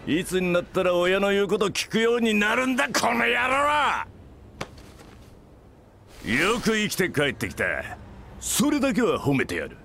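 An actor playing a gruff, blustering middle-aged father who runs a company, barking and rough-spoken in a video game cutscene. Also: high voice, big pitch swings, unhurried, long pauses, pushed and bright, slight background noise, exaggerated voice. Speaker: game character.

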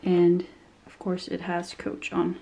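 A woman's voice: lowish voice, completely flat delivery, slow pace, creaky, mellow. A young woman reviewing a handbag in a chatty, descriptive way, a bit nasal as she recovers from a cold, recorded in a small room. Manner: chatty and descriptive, many fillers. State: slightly nasal after a cold. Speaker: bag reviewer. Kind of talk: casual product review.

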